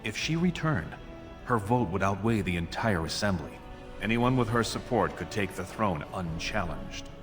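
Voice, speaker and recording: An actor playing a scheming, courtly dwarf prince in a video game, speaking formally and persuasively in measured phrases. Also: male voice, fairly low pitch, lively intonation, music in the background, lightly acted. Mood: calculating. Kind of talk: video game dialogue acting.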